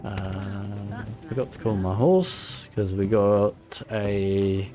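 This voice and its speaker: Male game streamer, low and unhurried, casually thinking aloud over faint game music. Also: little pitch movement. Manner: muttering to himself, thinking aloud. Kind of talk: casual playthrough commentary.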